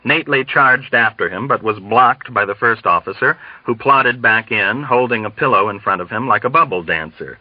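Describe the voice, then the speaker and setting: A man's voice: medium pitch, lively intonation, moderate pace, few pauses, no room sound. A man reading a novel aloud as scripted audiobook narration. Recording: dull, dry, band-limited audio.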